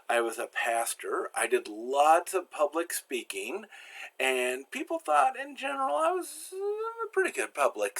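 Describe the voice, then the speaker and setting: An older American man gives a relaxed, self-deprecating slide lesson from a home study, talking into a webcam. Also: mid-range voice. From United States. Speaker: teacher.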